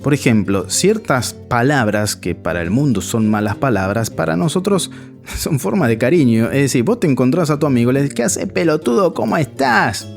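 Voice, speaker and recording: Argentine friar talking to camera. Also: male voice, mid-range voice, animated, moderate pace, gravelly, faint music behind. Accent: Argentine Spanish. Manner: conversational, addresses viewer directly. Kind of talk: casual video commentary.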